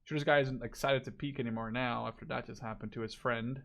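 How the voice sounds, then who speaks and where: A man's voice: medium pitch, fairly even intonation, fast talker, gravelly, a bit bright. A man giving casual live commentary on a video game match, thinking aloud as he watches.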